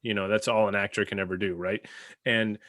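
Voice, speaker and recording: A man co-hosting a casual review discussion, talking in a halting but emphatic, conversational way. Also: fairly low pitch, little pitch movement, fairly bright tone, no echo, studio quality. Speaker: podcast host.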